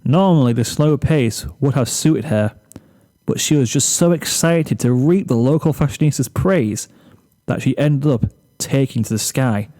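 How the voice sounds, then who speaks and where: Mellow-voiced man narrating a story. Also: medium pitch, unhurried. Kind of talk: scripted narration of a comic story.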